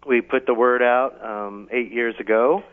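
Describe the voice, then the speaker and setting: A man being interviewed casually on the radio as an event organiser, explaining things in a halting way over a phone line. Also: mid-range voice, steady tone. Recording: thin, band-limited phone line.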